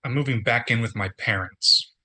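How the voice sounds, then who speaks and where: A forceful, bright-edged voice for an actor playing a man down on his luck. He is sardonic and wry, speaking in halting deadpan in a naturalistic table read. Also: medium pitch, husky. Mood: dejected, deadpan.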